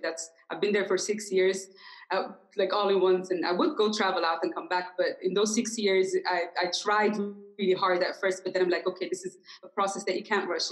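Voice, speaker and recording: A woman's voice: fairly low pitch, rough recording. A woman from Switzerland, a podcast guest in casual chat, who often restarts and searches for words.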